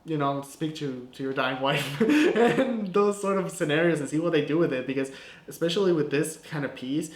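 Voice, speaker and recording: A young man talks through his filmmaking in a loose, chatty way, leaning on "like" and "you know". His voice sits at an ordinary middle pitch for a man. The sound carries a roomy echo. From Guatemala. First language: Spanish. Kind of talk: casual breakdown of his short film. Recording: echoey room.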